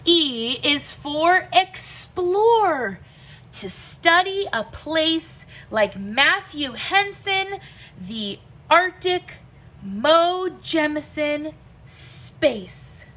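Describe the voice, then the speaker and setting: A woman performs an expressive picture-book read-aloud in a bright, forward voice that sits a little high. She takes the rhyming verse extremely slowly. Each phrase is deliberate and emphatic, set apart by pauses. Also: rough recording.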